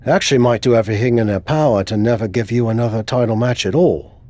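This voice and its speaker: A man's voice: mid-range voice, fast, mellow, no echo, close to an everyday voice. A man playing a frank, supportive friend and adviser in a wrestling video game, speaking earnestly and conversationally. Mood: earnest, urging.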